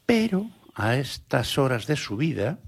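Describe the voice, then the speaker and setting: A man with a husky, slightly breathy voice leads a relaxed radio interview. He asks friendly questions and keeps his guest going with short encouraging replies. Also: medium pitch, a little slow. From Galicia.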